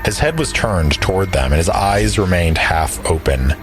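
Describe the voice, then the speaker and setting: Low-pitched male storyteller over music. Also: animated, normal speed. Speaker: narrator and player. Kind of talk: storytelling narration for audio drama.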